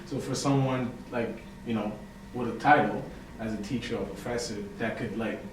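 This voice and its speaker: A young man from Haiti, a poet and writer, talking casually as an interview guest, relaxed and full of fillers. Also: mid-range voice, normal speed. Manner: relaxed, chatty, many fillers and "you know". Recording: echoey room, mic far off, noisy.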